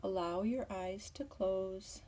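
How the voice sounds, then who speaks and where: A woman teaching yoga nidra as a guided meditation, with a soft, breathy voice and soothing, measured instruction. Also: medium pitch, far from the mic.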